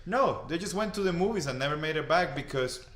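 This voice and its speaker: A man from Colombia, a podcast guest and father, speaking earnestly and haltingly in casual conversation. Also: fairly high pitch, lively intonation, a bit bright, reverberant. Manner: earnest, halting, repeats words.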